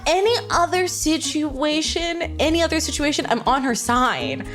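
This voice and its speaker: A woman co-hosting a casual comedic chat, joking and ranting in an animated, sweary way. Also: high voice, normal speed, faint music behind. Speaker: co-host.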